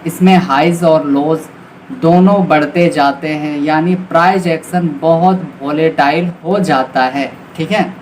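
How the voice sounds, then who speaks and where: Indian man teaching over clear background noise. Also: a little high, a little mellow. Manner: instructional, frequently checking in with short confirmations. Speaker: stock trading tutor. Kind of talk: informal explanatory lesson for beginners. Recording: clearly noisy recording with background ambience.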